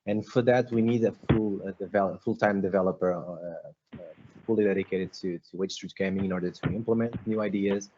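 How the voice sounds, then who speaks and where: A man's voice: sits low, fairly even intonation, brisk, noisy background. A man, an English speaker, answering questions carefully and searching for his words. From Portugal. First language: Portuguese. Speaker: team member. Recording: dull lo-fi call audio.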